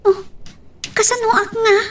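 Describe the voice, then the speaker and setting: A woman playing a high-voiced young Ilocano sweetheart. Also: flat delivery, normal speed, fairly bright tone, far from the mic. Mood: supportive, pleading. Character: gentle young sweetheart from a well-off family. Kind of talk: Ilocano radio drama acting.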